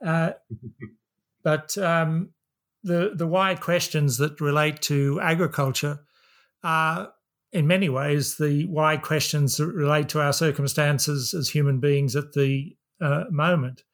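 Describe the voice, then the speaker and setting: Higher-voiced older Australian man, reflective. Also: fairly even intonation, slow pace, a bit bright. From New South Wales, Australia. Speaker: academic guest, systems professor. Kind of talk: reflective interview answer.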